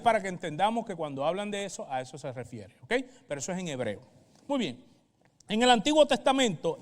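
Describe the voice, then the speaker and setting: A man with a high voice and a Puerto Rican accent delivers a sermon as a pastor. He teaches step by step, stopping for brief check-in questions. The sound carries the long reverb of a hall. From Puerto Rico. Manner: didactic, checks in with short questions.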